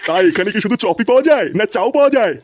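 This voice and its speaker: A curious old grandfather from a comedy cartoon as an actor plays him, folksy and querulous. He speaks at a medium pitch and very fast, with slight noise behind. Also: expressive, natural voice. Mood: curious, eager.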